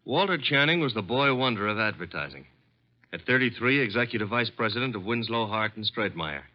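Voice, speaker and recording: An actor playing an easygoing, wisecracking detective's assistant in a vintage radio drama, casual and matter-of-fact. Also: male voice, on the low side, animated, brisk, natural voice. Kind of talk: 1940s-50s radio drama acting. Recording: dull vintage radio recording.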